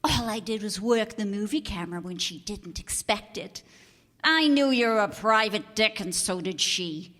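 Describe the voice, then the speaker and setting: A woman's voice: medium pitch, animated, moderate pace, a bit bright, in a large hall, lightly acted. A woman acting a brassy, defiant con artist in an old-time radio detective drama, slangy and biting. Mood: gloating. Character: brassy, scornful female con artist and palm reader.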